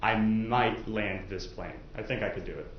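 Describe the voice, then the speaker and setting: A man with a fairly low voice questions potential jurors in a conversational, explanatory style. The room echoes heavily, and the recording is muffled with faint noise behind it. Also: moderate pace. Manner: informal, explanatory, hedging with casual asides. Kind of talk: courtroom jury questioning, conversational. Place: indoor courtroom.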